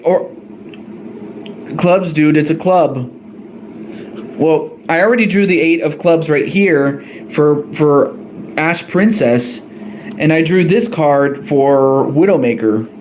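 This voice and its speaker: Slow-talking guy on a stream, kinda high voice, keeps fixing his mistakes and saying sorry. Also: darkish tone, a little hiss or hum, rough recording. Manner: hesitant, apologetic, self-correcting. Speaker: streamer drawing cards for a fan wrestling tournament. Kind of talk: casual live-stream commentary.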